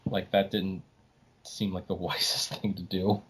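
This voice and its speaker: A man's voice: sits low, flat delivery, a little slow, slightly rough. A man hosting a podcast, giving his opinions casually and emphatically, often acting out what other people say. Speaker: podcast host.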